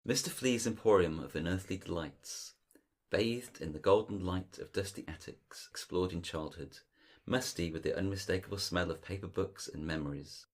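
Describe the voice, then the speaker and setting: A man narrating an audio drama in a measured, lyrical, literary storytelling style. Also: deep voice.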